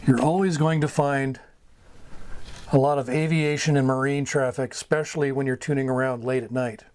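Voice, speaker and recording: A man's voice: medium pitch, fairly even intonation, normal speed, darkish tone. An American man giving an informal explanatory talk as a hobbyist teacher. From United States. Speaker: amateur radio hobbyist teaching beginners.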